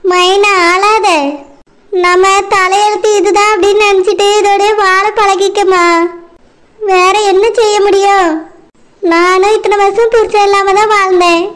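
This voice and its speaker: A woman voicing a patient mother who gently consoles her crying daughter with steady advice. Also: very high voice, a little slow. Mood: consoling.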